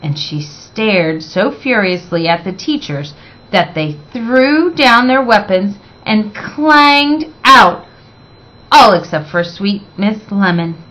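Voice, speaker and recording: Lady reading a picture book to kids, really bright crisp voice, dramatic and expressive. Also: mid-range voice, slow, low-quality recording. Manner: dramatic, emphatic phrasing. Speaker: librarian reading aloud. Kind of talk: expressive children's storybook narration.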